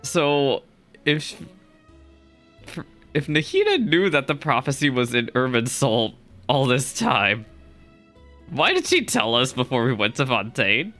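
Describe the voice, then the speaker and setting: A man's voice: medium pitch, big pitch swings, unhurried, long pauses, sharp tone, soft background music. A young man hosting a gaming reaction video with informal, rambling commentary.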